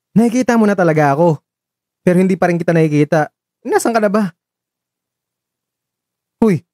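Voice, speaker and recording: A man's voice: high-pitched, darkish tone, dry recording, studio quality, close to an everyday voice. A man with a Filipino accent acting a teasing, playfully flustered young host on a date in a conversational radio drama. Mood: impatient, flustered.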